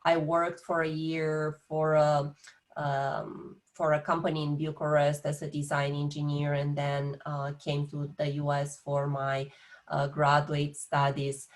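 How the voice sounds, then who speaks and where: A woman's voice: low voice, monotone, measured pace. A woman in her fifties from Romania with a Romanian accent, an engineering professor giving a hesitant talk full of filler sounds over a video call.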